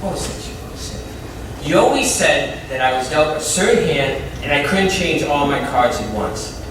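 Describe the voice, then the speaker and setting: A man acting on a community theatre stage as an earnest, excitable, grateful ex-convict, speaking emphatically with halting pauses. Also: fairly high pitch, natural voice. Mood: earnest, reflective. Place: indoor theatre stage, mic far off. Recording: distant, very echoey stage recording with clear noise.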